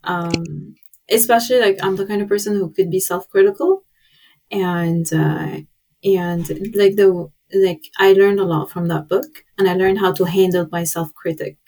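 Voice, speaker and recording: A woman's voice: fairly low pitch, fairly even intonation, normal speed, mellow. A woman, a native Arabic speaker, giving casual, thoughtful and somewhat hesitant answers as an interview guest. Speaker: podcast guest, tech entrepreneur.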